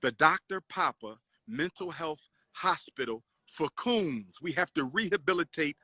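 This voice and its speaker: A man's voice: a little high, animated, a little slow, pushed and bright, no room sound. A man speaking in a preachy, mocking way, repeating himself like a sermon.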